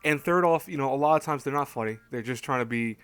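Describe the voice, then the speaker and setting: Man, medium pitch, casual YouTube commentary, halting and self-correcting, dry close-miked sound. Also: fairly bright tone, clear, resonant tone, no room sound. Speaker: YouTube host.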